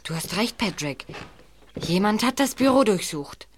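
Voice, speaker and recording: A woman's voice: mid-range voice, lively intonation, measured pace, a little hiss or hum, close to an everyday voice. A woman playing a casual, cautious teenage boy in an audio drama. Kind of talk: German audio drama acting.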